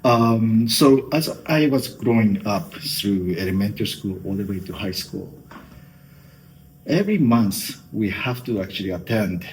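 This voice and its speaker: A man's voice: fairly low pitch, lively intonation, measured pace, a little hiss or hum, poor audio. A middle-aged man from Japan with a Japanese accent, a guest giving thoughtful interview answers with many fillers like "you know".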